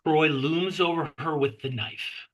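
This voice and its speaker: Bright, husky man reading stage directions. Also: on the high side, steady tone, measured pace. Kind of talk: scripted narration at a horror table read.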